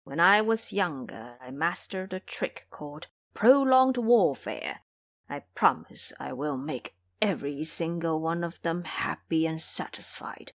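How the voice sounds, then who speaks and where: A woman acts out a foolish pig-monster bridegroom from an audio drama. He is eager and greedy, blustering and pleading in loud exclamations with a lively, swinging pitch. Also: a little slow. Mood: greedy, boastful.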